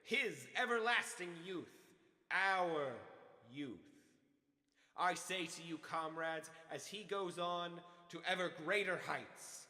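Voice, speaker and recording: An actor playing a zealous youth leader giving a declamatory, fervent speech at a mass rally in a radio drama. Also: male voice, high-pitched, slow, sharp tone, heavily acted. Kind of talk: old-time radio drama oratory. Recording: long hall reverb on the voice.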